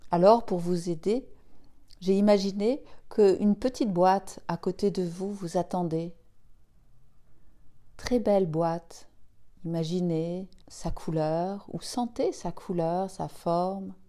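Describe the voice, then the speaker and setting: A woman leading a guided yoga nidra relaxation, speaking gently and soothingly in short, spaced phrases. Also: lowish voice, takes it slowly. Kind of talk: slow guided relaxation meditation.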